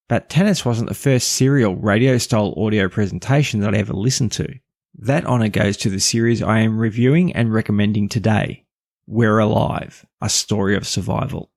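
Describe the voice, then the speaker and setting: Male podcast host, lowish and creaky, scripted review read, dry close sound. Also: a little mellow, dry recording.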